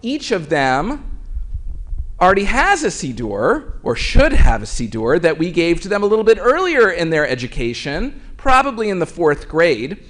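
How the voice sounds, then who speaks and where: Clergyman addressing a congregation, conversational and explanatory, slightly high voice. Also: wildly swooping pitch, normal speed, a bit bright. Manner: conversational and explanatory, with occasional fillers. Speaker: clergy leading a synagogue service. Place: synagogue sanctuary.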